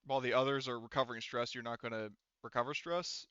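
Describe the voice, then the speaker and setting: A man acting as game master, casually running a tabletop roleplaying game in a halting, informal way, thinking aloud. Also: mid-range voice, fairly even intonation, pushed and bright, clear, resonant tone, no room sound, highs cut off.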